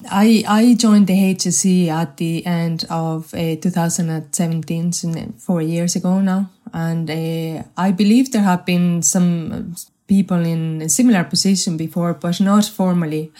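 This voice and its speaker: A woman in her forties from Spain with a Spanish accent, speaking measured and hesitantly as a guest in a podcast interview. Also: fairly low pitch, fairly even intonation, mellow. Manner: measured, hesitant, many restarts. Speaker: guest, health research manager.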